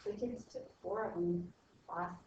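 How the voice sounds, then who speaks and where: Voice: mid-range voice, fairly even intonation, unhurried, a little mellow. A school staff member chatting casually with a colleague. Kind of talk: casual chat. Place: indoor classroom. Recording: echoey classroom, distant muffled mic, clear noise.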